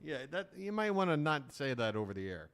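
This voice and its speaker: A man's voice: sing-song, brisk, a bit bright. A man hosting a live reaction stream, riffing sarcastically over a shopping broadcast and laughing often. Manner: sarcastic, jokey, laughs often, voice jumps high when mock-ranting.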